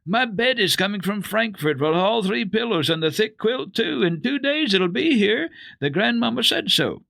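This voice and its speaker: An excited little girl acted out by a man, pitched far above an adult male register. She exclaims breathlessly and affectionately, bursting with news in an animated storybook performance. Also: moderate pace, gravelly, dry recording, heavily acted. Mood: excited delight.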